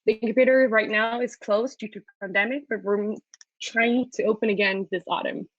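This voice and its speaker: A woman's voice: medium pitch, fairly even intonation, moderate pace, dry recording, muffled recording. Upbeat woman hosting a webinar. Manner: upbeat, with frequent fillers. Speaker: webinar host. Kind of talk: presenting a student startup hub.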